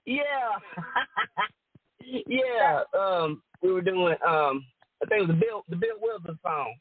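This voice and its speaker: A woman with a deep alto voice, chatting casually as a guest and laughing often. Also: expressive, slow, breathy edge, dry recording. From Rome, Georgia, US. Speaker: guest singer and entertainer. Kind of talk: casual chat. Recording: dry phone-line call audio.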